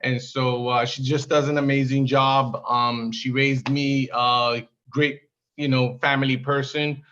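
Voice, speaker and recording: A man's voice: medium pitch, little pitch movement, unhurried, sharp tone, a little hiss or hum. A man from Zambia, a guest golfer, talking in a friendly, enthusiastic way. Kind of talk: casual interview chat. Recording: lo-fi stream audio.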